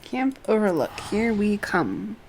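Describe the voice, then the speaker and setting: A woman streaming a game, reading its story aloud deliberately and muttering asides as she goes. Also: medium pitch, sing-song, a little mellow, a little hiss or hum, low-quality recording.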